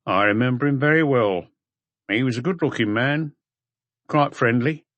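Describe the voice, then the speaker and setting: A man's voice: mid-range voice, moderate pace, a bit bright, dry recording, dull audio, lightly acted. A man voicing a tired, slovenly hotel owner with blunt, curt answers, in an audiobook. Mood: gruff, weary. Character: unshaven, tired, gruff male hotel owner.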